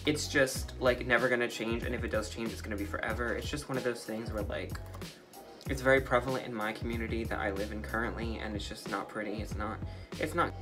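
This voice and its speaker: Young man, light feminine-sounding voice, bright tone, talkative, opinionated, faint music behind. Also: medium pitch. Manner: talkative and opinionated, heavy use of "like". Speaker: vlogger. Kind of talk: casual opinion chat while making slime.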